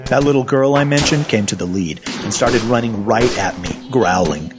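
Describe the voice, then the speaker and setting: A man's voice: mid-range voice, normal speed, over music, natural voice. An actor playing a wry, self-deprecating survivor of a zombie apocalypse, telling his story in a folksy, sardonic first person. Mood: tense, wry. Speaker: actor narrating an audio fiction story. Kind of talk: first-person storytelling, dramatic audio fiction.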